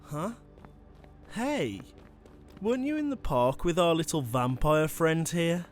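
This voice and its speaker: A man's voice: on the high side, lively intonation, slow pace, faint music behind. A man playing a taunting, impatient monster hunter with a casual, sardonic menace, in a dramatic ASMR audio roleplay. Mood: smug, taunting.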